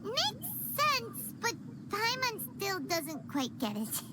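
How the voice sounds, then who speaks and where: A woman voicing a tiny chatty fairy companion in a game, with a high childlike voice that speaks of herself by name. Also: huge pitch swings, takes it slowly, very noisy, heavily acted. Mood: puzzled. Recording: game audio with music underneath. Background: game music.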